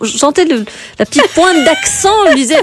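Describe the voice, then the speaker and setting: A woman with a Belgian French accent hosting a radio interview in a studio, quick and lively, laughing easily. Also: high voice, noisy background. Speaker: radio host. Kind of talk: warm radio interview chat.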